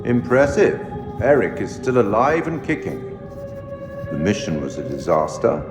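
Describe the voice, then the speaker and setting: A man's voice: lowish voice, sing-song. An actor playing an enigmatic, sardonic male curator in a video game, speaking formally and theatrically to the player as he judges their choices. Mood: wry, appraising. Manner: measured, formal, wryly sardonic. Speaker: narrator addressing the player. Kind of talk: theatrical scripted game narration. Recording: long hall reverb, music underneath. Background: music.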